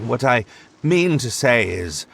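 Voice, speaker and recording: A man voicing a courteous, bookish young gentleman in an anime game, formal, precise and apologetic. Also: medium pitch, sing-song, slow, gravelly, breathy edge, exaggerated voice. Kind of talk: anime game voice acting, scripted dialogue.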